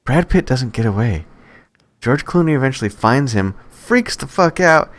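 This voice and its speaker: Low-voiced man chatting casually. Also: fairly bright tone. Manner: conversational storytelling with swearing. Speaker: podcast co-host. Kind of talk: casual movie banter.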